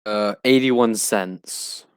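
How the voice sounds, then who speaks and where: Smooth, low-voiced young man. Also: no echo, clean studio sound. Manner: quick reactive interjections. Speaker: podcast guest. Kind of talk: casual chat.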